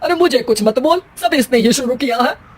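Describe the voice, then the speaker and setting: Woman acting a bossy, taunting mother-in-law, fairly high-voiced. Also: expressive, moderate pace, slightly rough. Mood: complaining. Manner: taunting, scolding, dramatic. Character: bickering, taunting older mother-in-law, bossy but protective.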